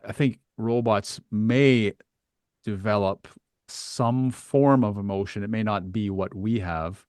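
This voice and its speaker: A man's voice: lowish voice, no room sound, studio quality. A man hosting a casual, reflective discussion, musing tentatively as he puts his ideas forward. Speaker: podcast host.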